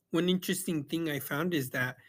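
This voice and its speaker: Young male reviewer, slightly high voice, halting and filler-heavy delivery, casual. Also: vocal fry, a bit bright. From El Salvador. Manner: hesitant, many ums and restarts. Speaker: book reviewer. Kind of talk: casual spoken book review. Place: Yakima, Washington.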